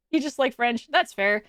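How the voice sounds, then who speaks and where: A woman's voice: high-pitched, lively intonation, measured pace, fairly bright tone, no echo, studio quality. A young adult woman streaming from home, chatting casually with her chat. She rambles, restarts her sentences often and laughs a lot.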